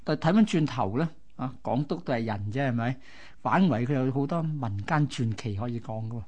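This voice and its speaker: Male radio co-host, Hong Kong accent, average pitch, colloquial storyteller explaining piece by piece. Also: expressive, fairly quick, darkish tone. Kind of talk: conversational storytelling.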